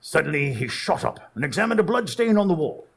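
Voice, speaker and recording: An actor playing an earnest Victorian doctor who narrates dramatically in a radio drama, with a gravelly, husky voice. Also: male voice, on the high side, sing-song, a little fast, full character voice. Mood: solemn narration.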